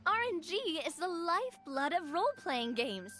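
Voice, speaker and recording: A lively, bubbly businesswoman. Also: very high voice, moderate pace, full character voice. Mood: upbeat. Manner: upbeat, encouraging. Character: bubbly, confident young businesswoman and monster hunter. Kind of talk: video game voice acting. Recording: game audio with faint music behind.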